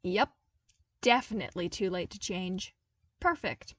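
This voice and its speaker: A woman's voice: medium pitch, lively intonation, a little slow, a bit bright, no room sound. Woman narrating a story, slightly distant mic. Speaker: podfic narrator reading fan fiction. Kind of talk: expressive scripted story narration.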